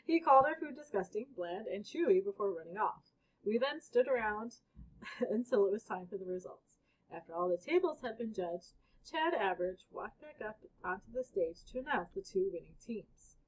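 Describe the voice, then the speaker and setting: A woman reads a children's story aloud with lively swings in pitch. Her voice is a little husky and sits at an average height. The sound is somewhat dull and lo-fi. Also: normal speed. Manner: animated, acts out exclamations and characters' lines. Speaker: storybook reader. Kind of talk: expressive children's read-aloud with casual asides.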